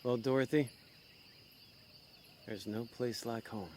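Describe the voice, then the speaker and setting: A man's voice: mid-range voice, steady tone, slow pace, darkish tone, slight background noise, close to an everyday voice. An actor playing a laid-back, streetwise con man in a noir audio thriller, wry, informal and suspicious. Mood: wry, content.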